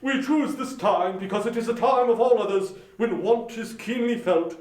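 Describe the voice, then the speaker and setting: A man playing a portly, earnest charity gentleman with formal, courteous manners in a dramatic audiobook, recorded in a roomy space. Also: sits high, moderate pace, lightly acted. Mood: earnest, polite. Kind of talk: dramatic audiobook reading of a Victorian story. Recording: roomy, distant from the microphone.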